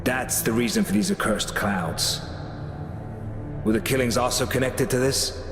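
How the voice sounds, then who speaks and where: A man's voice: mid-range voice, close to an everyday voice. Stern, devout inquisitor played by a man, echoing hall. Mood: probing. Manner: measured, formal, accusing. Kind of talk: dramatic video game acting. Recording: echoey hall reverb, music behind.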